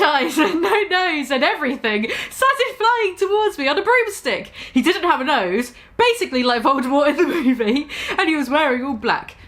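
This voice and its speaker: A woman with a high, very animated voice, reading a story in a mock-dramatic way. She breaks off often into sarcastic, laughing asides. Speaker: comedic reader.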